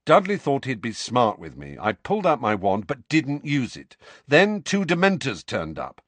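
A man plays a defiant teenage boy in a storybook. He is impatient and short-tempered, answering tersely with exasperation. The pitch moves around freely and the sound is dry and close. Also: huge pitch swings, normal speed, a bit bright, dry recording. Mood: exasperated, impatient.

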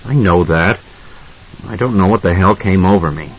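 A man's voice: low voice, moderate pace, breathy edge, highs cut off. A man voicing a tense, volatile father in an audiobook, speaking with insistent control. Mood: remorseful. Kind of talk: audiobook character acting.

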